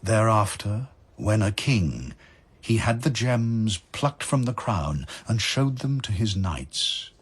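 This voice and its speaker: Slow, husky, low-voiced male narrator. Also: big pitch swings. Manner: measured, solemn storytelling. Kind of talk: dramatic narration of verse.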